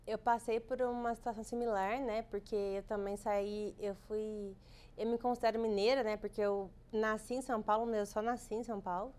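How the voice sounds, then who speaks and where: A woman from Minas Gerais co-hosting a podcast, chatting warmly and addressing the audience directly. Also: medium pitch, little pitch movement, brisk. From Minas Gerais, Brazil. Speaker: podcast co-host. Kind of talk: podcast host chat and sponsor read.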